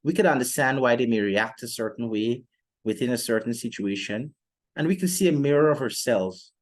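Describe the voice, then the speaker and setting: A young man presenting an explanatory podcast monologue in a measured, deliberate way with formal phrasing. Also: medium pitch. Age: young adult. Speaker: student podcast host.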